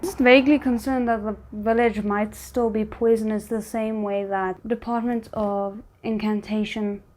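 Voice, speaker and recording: A woman's voice: mid-range voice, steady tone, unhurried, few pauses, darkish tone, rough recording. A woman doing casual reaction commentary, thinking aloud in tentative asides as she watches. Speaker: reaction video host.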